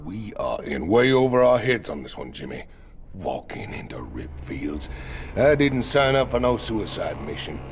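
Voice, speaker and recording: An actor playing a gruff, boastful male mercenary with a drawl and a gravelly, husky voice in a game cinematic. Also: sits low, sing-song, a little slow, faint music behind, heavily acted. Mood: alarmed.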